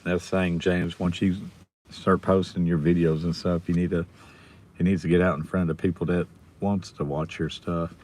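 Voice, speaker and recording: A middle-aged man with a hoarse, raspy voice, casually chatting with viewers on his live stream. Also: sits low, flat delivery, normal speed, a little mellow. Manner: rambling, chatting with viewers by name.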